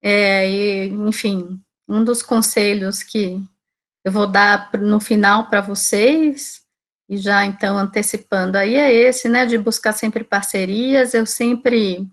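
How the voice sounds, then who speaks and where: A Brazilian woman in her forties from Salvador, Bahia, giving an informal talk as a teacher, conversational and often checking in with tag questions. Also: mid-range voice. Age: 40s. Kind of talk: informal online talk about own career.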